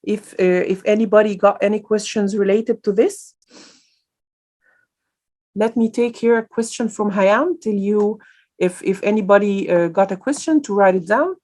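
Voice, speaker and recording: Hesitant woman lecturer. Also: medium pitch. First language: Arabic. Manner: informal and hesitant, many fillers and restarts. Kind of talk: live online lecture and Q&A.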